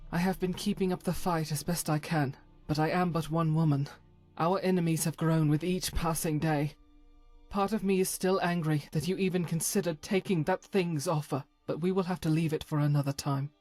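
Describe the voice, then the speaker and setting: A woman voicing a devout, weary female warrior of a holy order in a fantasy game, earnest and solemn, with a husky, breathy voice and halting formal phrasing. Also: low-pitched, darkish tone. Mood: weary, resolute. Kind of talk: voice acting for fantasy game companion.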